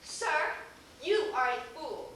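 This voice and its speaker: A woman's voice: sits high, lively intonation, slow pace. A woman playing a haughty, easily offended old duchess in an amateur stage play, stern and imperious. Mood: indignant, stern. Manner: imperious, stern, clipped commands. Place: theater stage, recorded from afar. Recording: echoey theater, distant mic, faint noise.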